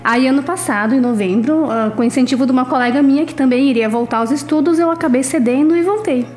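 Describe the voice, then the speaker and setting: A woman's voice: high-pitched, quick pace, darkish tone, soft background music. A woman in her thirties with a Brazilian accent, giving a casual personal account as an interview guest. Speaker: interview guest, adult student.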